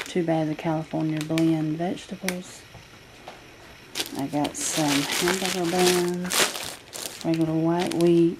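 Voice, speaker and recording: A woman listing groceries from her table in a casual home video, with plenty of ums as she goes item by item. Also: on the low side. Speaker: vlogger showing a grocery haul. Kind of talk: casual haul video narration. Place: home kitchen table, indoors. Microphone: handheld camera filming a tabletop. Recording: home camera audio with clear noise.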